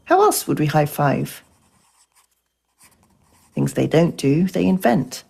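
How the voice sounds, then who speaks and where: Gravelly, low-voiced woman reading poetically. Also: very animated, warm tone. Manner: measured, deliberate delivery. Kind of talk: scripted poetic narration in an audio piece.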